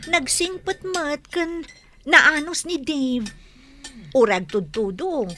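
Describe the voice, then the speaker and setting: A woman's voice: high-pitched, slow, sharp tone, heavily acted. A woman with an Ilocano accent acting in a radio drama as a nagging, nosy, outspoken confidante. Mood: nosy, reproachful. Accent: Northern Philippine, Ilocano. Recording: music clearly behind the voice.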